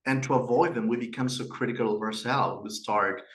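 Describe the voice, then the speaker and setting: A man's voice: mid-range voice, a little fast, fairly bright tone. A middle-aged man from Peru with a Peruvian Spanish accent, hosting a webinar and telling personal stories earnestly, with fillers and restarts. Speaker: webinar host and institute director. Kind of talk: webinar presentation.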